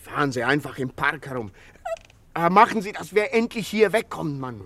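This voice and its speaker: A radio crime drama gives us an agitated singer confiding in police. His voice sits slightly high. He is urgent and impatient, speaking inside a noisy, rumbling car. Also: expressive, a little fast. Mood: impatient, wary. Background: vehicle rumble, traffic.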